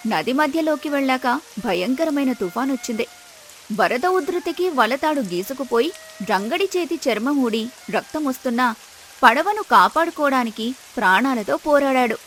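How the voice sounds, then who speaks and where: A woman narrator telling a scripted moral story in an expressive storytelling manner. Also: high voice, normal speed, a little hiss or hum.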